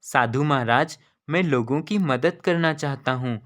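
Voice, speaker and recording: An earnest young boy from a moral cartoon tale, acted by a man. He speaks slowly in a medium pitch, with an eager, pleading manner and a kind heart. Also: a bit bright, natural voice.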